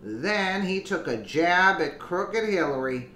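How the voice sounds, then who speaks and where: Slow deliberate reader, news commentary, casual asides, roomy echo. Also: mid-range voice, animated, bright, forceful tone, slight background noise. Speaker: commentator reading news aloud. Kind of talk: reading an article with commentary.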